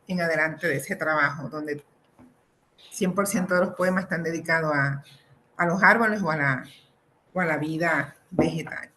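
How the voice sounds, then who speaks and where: A middle-aged woman from the Republic, presenting and reading her own poetry in a deliberate, expressive way. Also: on the low side. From Dominican Republic. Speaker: poet and professor presenting own work. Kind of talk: poetry reading with informal introductions.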